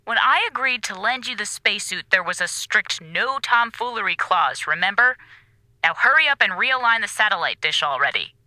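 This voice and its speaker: An actress playing a strict, exasperated space station commander in an audio drama, bossy and impatient. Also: female voice, medium pitch, animated, moderate pace, pushed and bright, rough recording.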